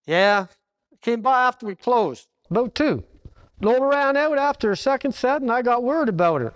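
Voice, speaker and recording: A man playing a slangy, animated war-veteran piano player, an old pal in a 1940s-style detective parody. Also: very high-pitched, moderate pace. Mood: uneasy.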